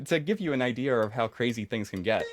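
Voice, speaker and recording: A man's voice: mid-range voice, lively intonation, fast. A young adult man narrating a scripted video essay in an upbeat explainer style. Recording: narration over background music.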